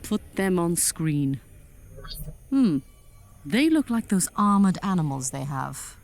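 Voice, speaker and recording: A woman's voice: fairly low pitch, sing-song, slow pace, creaky, a little mellow, heavily acted. A woman playing a commanding, impatient alien general in a sci-fi audio drama, clipped and drily sarcastic. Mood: stern, impatient.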